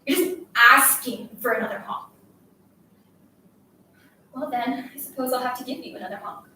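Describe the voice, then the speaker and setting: Woman acting a high-voiced, taunting schoolgirl bully, echoey. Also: normal speed, bright, forceful tone. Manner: scolding, demanding, emphatic. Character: bullying schoolgirl, taunting, desperate, commanding a silent classmate. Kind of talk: dramatic literary reading. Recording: echoey room, distant mic, faint noise.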